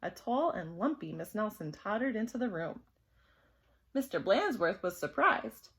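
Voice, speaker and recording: A woman narrates a children's story with expressive delivery. Her pitch sits in the middle range, and she shapes the sentences dramatically for young listeners. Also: measured pace.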